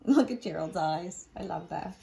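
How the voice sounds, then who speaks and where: A woman's voice: fairly low pitch, normal speed, gravelly, warm tone, a little hiss or hum. A woman teacher reading a picture book aloud to young children, expressively, with asides and questions.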